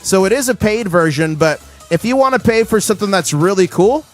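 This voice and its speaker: A man hosting a casual livestream, his voice hoarse, talking with excitable hype-man energy. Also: high-pitched, brisk, soft background music. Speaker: livestream host.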